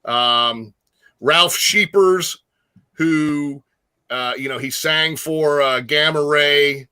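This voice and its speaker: A man chatting casually about music as a guest co-host, enthusiastic and slangy, often halting as he gathers his thoughts. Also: a little high, sharp tone, smooth, dry recording. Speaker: guest co-host, metal fan.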